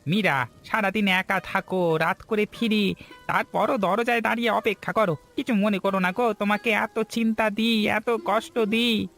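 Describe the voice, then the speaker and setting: Sweet hardworking village husband, cartoon story, really high bright voice, earnest. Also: male voice, fairly even intonation, normal speed, vocal fry, faint music behind. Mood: tender, weary. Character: kind, hardworking village woodcutter husband, gentle and devoted. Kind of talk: Bengali cartoon story voice acting.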